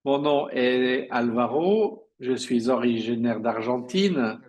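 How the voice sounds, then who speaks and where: A man interpreting conference speeches live, in a halting delivery with drawn-out pauses. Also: mid-range voice, lively intonation, a little mellow. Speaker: simultaneous interpreter.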